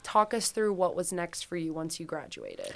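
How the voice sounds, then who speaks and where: A woman with a somewhat low voice co-hosts a podcast. She asks her guest questions in a friendly, conversational way. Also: huge pitch swings, normal speed, vocal fry, fairly bright tone. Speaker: podcast co-host. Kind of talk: interviewing.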